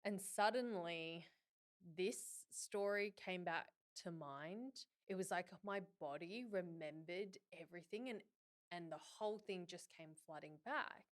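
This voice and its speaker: A woman's voice: on the low side, unhurried. A woman in her thirties hosting a podcast, telling a personal story in a chatty, candid, self-deprecating way. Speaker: podcast host.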